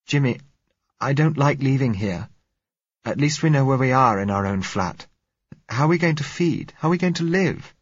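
A man's voice: mid-range voice, expressive, moderate pace, slightly rough, dry recording, dull audio, pitched above the performer's own voice. A man voicing a frightened young woman who pleads anxiously, in an audiobook reading. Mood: pleading.

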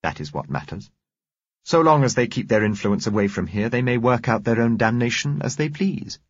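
A man voicing a stern, self-righteous woman community leader in an audiobook, with a sharp, clipped, sanctimonious manner. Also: lowish voice, expressive, fast talker, husky, highs cut off, voice pushed up. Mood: stony, scornful.

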